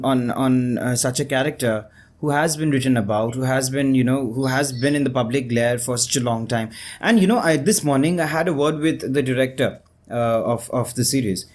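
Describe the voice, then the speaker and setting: A man with an Indian accent asking interview questions in a halting, wordy way, circling back and repeating himself. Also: medium pitch, a little mellow.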